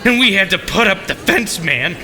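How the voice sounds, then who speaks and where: Man acting whiny, very high-pitched schemer. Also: sing-song, moderate pace, a loud crowd, heavy background noise. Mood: panicky excuses. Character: panicky, callous male homeless shelter schemer. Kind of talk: comedic audio drama acting.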